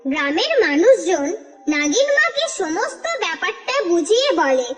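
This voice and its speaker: Woman narrating a cartoon story, very high lively voice, long reverb and faint music. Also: normal speed. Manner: steady, expressive storytelling.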